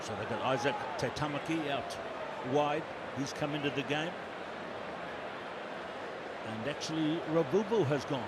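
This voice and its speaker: Lead play-by-play man, high voice, brisk name-calling, loud stadium din underneath. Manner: brisk play-by-play, calling names as the action unfolds. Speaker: play-by-play commentator. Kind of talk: live rugby sevens commentary. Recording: commentary over loud stadium noise.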